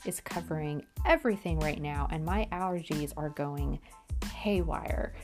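A woman's voice: low voice, unhurried, music in the background. Stuffy-nosed woman reading scripture. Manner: earnest, reads scripture aloud then comments with frequent restarts. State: stuffy nose from allergies. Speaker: Bible podcast host. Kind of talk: devotional scripture reading and reflection.

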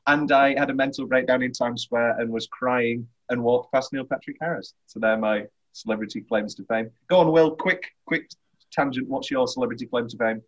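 A British man hosting a casual film podcast, talking in a teasing, opinionated, animated way. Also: mid-range voice, moderate pace, lo-fi sound. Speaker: podcast host.

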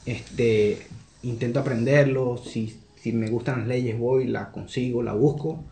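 A man's voice: mid-range voice, fairly even intonation, unhurried, gravelly, mellow, echoey room, slight background noise. A young man from Venezuela with a Venezuelan accent, answering interview questions in an earnest, reflective way. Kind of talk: conversational interview.

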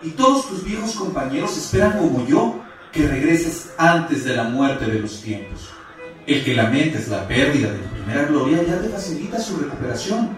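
A man's voice: on the high side, very animated, normal speed. Guy doing a solemn pleading angel in a radio drama, preachy, super echoey and kinda far off. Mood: grave, persuasive. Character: solemn pleading male angel, God's messenger. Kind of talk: radio theatre acting. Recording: very echoey, distant, music underneath.

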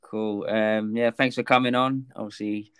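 Laid-back male podcast host, smooth. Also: on the low side, little pitch movement, normal speed, no room sound, clean studio sound. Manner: laid-back, filler-heavy, rambling questions. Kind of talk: casual interview.

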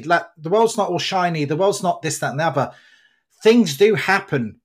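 A man speaking as a podcast host in a reflective conversation, giving earnest, rambling explanations. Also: fairly high pitch.

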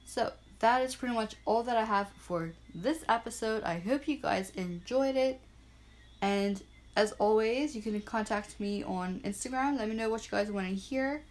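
A young woman from Bermuda hosting her own small podcast, chatting in an upbeat, self-deprecating way and spelling things out slowly. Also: on the high side. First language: Portuguese and English bilingual. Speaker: podcast host. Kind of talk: casual solo podcast chat. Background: frogs and crickets at night.

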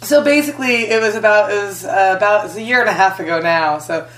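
A woman's voice: medium pitch, fast talker. A young woman comedian from Las Vegas, chatting casually on a podcast and laughing through her stories. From Las Vegas, USA. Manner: laughs often, animated storyteller. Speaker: comedian guest. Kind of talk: casual podcast chat, storytelling. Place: hotel room. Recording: echoey room.

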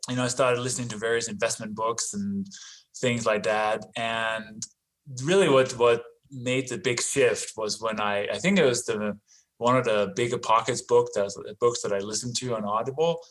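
A man's voice: medium pitch, normal speed, a bit bright, poor audio. A man in his forties from Denmark with a slight Danish accent, talking casually as a podcast guest, full of "you know" and "right?". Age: 40s. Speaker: podcast guest, real estate investor. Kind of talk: casual interview talk.

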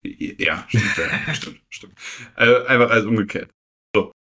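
Low-voiced young man, chatting casually. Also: measured pace, sharp tone, breathy edge. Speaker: podcast co-host. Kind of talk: casual chat, personal storytelling.

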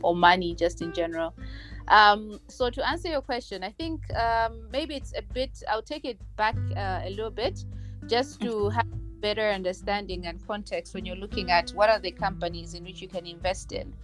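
A woman in her thirties from Malawi talks through financial ideas steadily, stopping for plenty of ums and uhs. Her pitch sits in a middle range, and faint guitar music plays underneath. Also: fairly bright tone. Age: 30s. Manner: measured, explanatory, with frequent fillers. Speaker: guest, finance executive. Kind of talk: podcast interview.

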